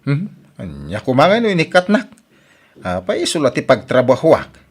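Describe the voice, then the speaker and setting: A man playing an idle, slow-witted village husband in a radio comedy, puzzled and defensive. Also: a little high, wildly swooping pitch, husky, heavily acted. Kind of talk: comedic radio drama acting.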